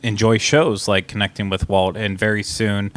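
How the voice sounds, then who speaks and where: A man's voice: on the low side, little pitch movement, moderate pace, a bit bright. A man co-hosting a podcast, talking frankly and conversationally with many filler uhs. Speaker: podcast co-host. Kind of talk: casual podcast chat.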